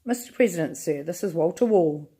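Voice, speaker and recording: A woman's voice: on the low side, expressive, a little fast, warm tone, husky. Woman doing a gushing male hotel manager.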